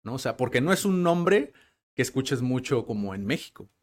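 A man's voice: mid-range voice, very animated, normal speed. A man hosting a casual interview, rambling, with many fillers and tag questions. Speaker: podcast host.

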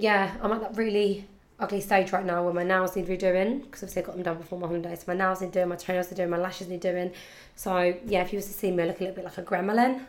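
A young woman with a husky, congested voice from hay fever, chatting casually and rambling to her podcast listeners. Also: fairly low pitch. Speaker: podcast host. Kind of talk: casual chat.

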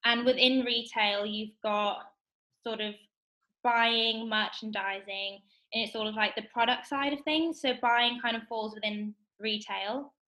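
A woman's voice: fairly high pitch, low-quality recording. A young woman in her twenties, a guest explaining her job in a casual chat with lots of "kind of" and "like". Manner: bright, quick, lots of fillers like "kind of" and "like". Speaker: guest, assistant buyer. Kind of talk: casual interview.

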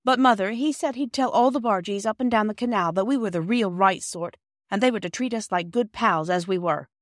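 A woman's voice: mid-range voice, a little fast, dry recording, studio quality, pitched above the performer's own voice, natural voice. A woman voicing an enthusiastic, boastful schoolboy in a storybook reading, emphatic and full of exclamation. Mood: eager.

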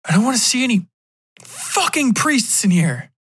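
A man playing a troubled, defiant boyfriend in an improvised story, sullen and quick to anger. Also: medium pitch, fairly even intonation, gravelly, pushed and bright, slightly rough, heavily acted. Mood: defiant, angry.

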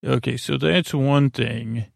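A man hosting a bedtime podcast in a rambling, halting casual monologue, repeating himself and drifting into tangents with a gravelly voice. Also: medium pitch, no echo, clean studio sound. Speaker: podcast host.

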